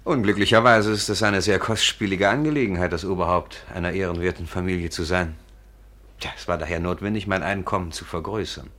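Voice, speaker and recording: An urbane aristocratic villain in a radio drama. His voice is low and polished in manner. He is courteous and ironic, with a cool menace. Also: brisk, fairly bright tone. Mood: coolly menacing. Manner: suave, polite, ironic. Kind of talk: 1950s German radio play acting.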